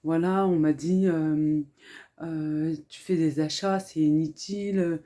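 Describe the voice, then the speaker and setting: A woman from France with a slight accent from speaking Arabic at home, chatting softly and earnestly in a hushed voice at home, with many fillers. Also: deep voice, fairly even intonation, takes it slowly. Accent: French with a slight Arabic-influenced lilt. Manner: speaks softly, hushed so as not to wake children. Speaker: family vlogger. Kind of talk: casual haul vlog. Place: home kitchen, indoors.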